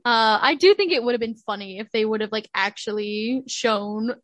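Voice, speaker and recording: High-voiced young woman chatting. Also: normal speed, no room sound. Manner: animated, laughs often, acts out quotes. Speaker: podcast co-host. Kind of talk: casual chat.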